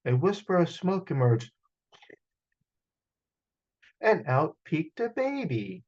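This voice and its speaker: A man reading a children's picture book aloud as a storyteller, in an expressive manner, over a video call. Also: on the high side, takes it slowly, lo-fi sound. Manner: expressive, acts out sound effects.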